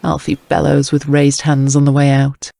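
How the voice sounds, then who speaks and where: Male narrator, scripted crime fiction storytelling, slightly high pitch, gravelly creak. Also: monotone, moderate pace, mellow, no room sound. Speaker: audiobook narrator.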